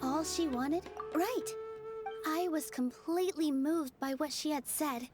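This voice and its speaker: High-voiced gentle young dancer over music. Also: female voice, measured pace. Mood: earnest. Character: gentle young female dancer, earnest and kind. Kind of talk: video game voice acting.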